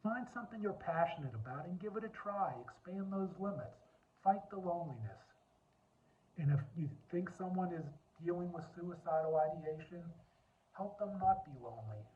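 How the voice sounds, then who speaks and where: A man with a deep voice giving an earnest personal talk, telling his story and repeating points for emphasis. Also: animated, normal speed. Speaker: speaker on lawyer wellbeing. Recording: tinny phone-like line.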